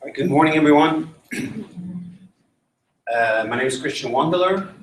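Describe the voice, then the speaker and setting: A man's voice: fairly high pitch, lively intonation, normal speed. A man from Switzerland giving an academic conference talk and putting questions to the audience. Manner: engaging, asks the audience questions. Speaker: conference presenter. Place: conference room. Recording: roomy, mic at a distance.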